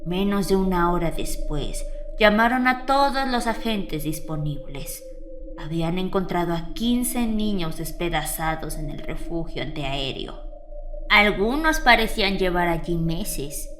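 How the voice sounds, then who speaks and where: A woman playing an elderly grandmother who recounts a grim horror tale in a measured, dramatic way with weighty pauses. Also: fairly low pitch, expressive, a bit bright. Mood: grim, solemn. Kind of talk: dramatic horror audio fiction narration. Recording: eerie music behind the voice.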